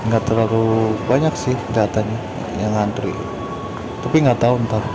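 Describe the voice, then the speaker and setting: A man with a hoarse voice, chatting casually through a travel vlog at a train station. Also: fairly low pitch, normal speed, heavy background noise. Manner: relaxed, chatty commentary on surroundings. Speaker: travel vlogger. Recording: loud background music.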